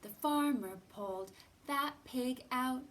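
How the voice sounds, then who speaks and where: Slow, high-voiced woman reading to children. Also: a bit bright. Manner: sing-song, exaggerated, with drawn-out words. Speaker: children's librarian hosting storytime. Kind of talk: expressive read-aloud and counting for young children. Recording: somewhat distant from the mic.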